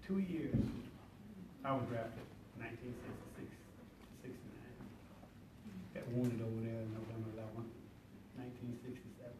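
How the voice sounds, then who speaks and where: A man's voice: medium pitch, fairly even intonation, moderate pace, long pauses. A man in his seventies, a veteran on a panel, giving short plain answers. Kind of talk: panel discussion. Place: panel room with audience. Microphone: phone live stream across the room. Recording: echoey room, far from mic, noisy.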